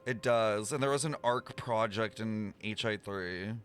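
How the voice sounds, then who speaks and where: A man's voice: fairly low pitch, unhurried, fairly bright tone, soft background music. A man giving casual, musing reaction commentary as a game streamer, thinking aloud.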